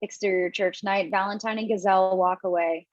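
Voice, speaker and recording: A woman narrates screenplay directions at a table read in a mid-pitched voice. She occasionally trips over a word. The audio is dull, with very little room sound. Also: steady tone, fairly quick, no echo. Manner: stumbles over words while reading. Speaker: stage directions reader. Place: remote online table read. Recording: dull, dry lo-fi call audio.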